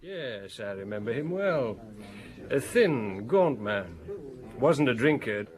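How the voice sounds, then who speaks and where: An actor playing a nosy, opinionated bar owner in a radio drama, chatty, blunt and emphatic. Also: male voice, mid-range voice, big pitch swings, takes it slowly, crowd noise. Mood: nosy, gossipy.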